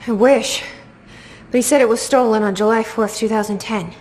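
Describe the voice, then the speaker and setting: A woman's voice: fairly high pitch, moderate pace, a little mellow, lightly acted. An actress playing a tough, cautious and skeptical American woman, perhaps in her thirties, in a naturalistic video game style. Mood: troubled, puzzled.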